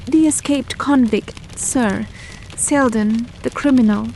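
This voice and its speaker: Woman as a high-voiced anguished housekeeper. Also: takes it slowly, darkish tone, a little hiss or hum. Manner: anguished, imploring. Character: distraught middle-aged housekeeper wife.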